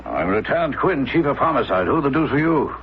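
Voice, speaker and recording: Impatient police lieutenant, blunt, gruff, mid-pitched, radio play, muffled old recording. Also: male voice, sing-song, a little fast, background noise. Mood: gruff, incredulous. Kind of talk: old-time radio drama acting. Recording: dull old radio broadcast.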